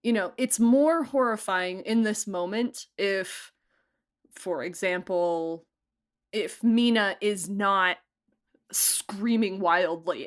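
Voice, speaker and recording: A woman giving a casual interview as an audio drama director, talking in an animated, chatty way that wanders into asides. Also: medium pitch. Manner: animated, chatty, digressive storytelling.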